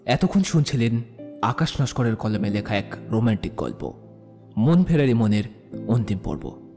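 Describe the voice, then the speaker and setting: A man's voice: lowish voice, sing-song, moderate pace, dark tone. A man narrating and presenting a scripted romantic audio story. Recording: long hall reverb, faint music behind. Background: faint music.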